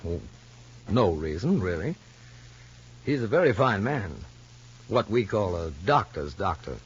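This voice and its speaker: An actor playing a formal child psychologist in a radio soap opera, probing gently and deliberately. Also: male voice, lowish voice, sing-song, breathy edge, full character voice. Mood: calm, probing. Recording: muffled old radio recording.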